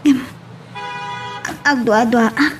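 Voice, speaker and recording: Actress as anxious, homesick young Ilocano wife, high-pitched, radio-style drama, light noisy ambience. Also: female voice, steady tone, slow.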